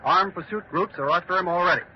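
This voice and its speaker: Hissy old radio, actor doing solemn male news announcer, clipped n dramatic, slightly high voice. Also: fast talker, lightly acted. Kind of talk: 1940s radio drama, scripted bulletins. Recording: muffled, hissy 1940s radio recording.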